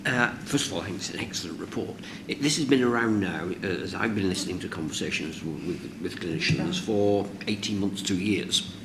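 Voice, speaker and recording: A man speaking hoarsely with the flu, giving measured, emphatic remarks as a board member in a formal meeting. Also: low-pitched, noisy background. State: hoarse from the flu, voice lower than usual.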